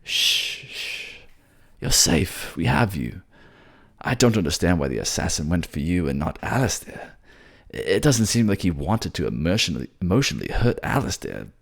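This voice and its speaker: Male actor as gentle fantasy mage, raised pitch, breathy, caring, anxious, pleading, ASMR audio drama. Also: very animated, normal speed, heavily acted. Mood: soothing.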